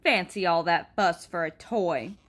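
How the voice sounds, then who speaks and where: A woman reading aloud and voicing a hurried mother who speaks in a brisk, matter-of-fact way. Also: lowish voice, fairly bright tone, pitched below the performer's own voice.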